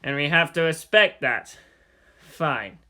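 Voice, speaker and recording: A weary older government agent played by a man reading a story. He speaks deliberately and conversationally, with a sharp, forceful edge. His menace stays restrained. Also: on the high side, lively intonation, full character voice.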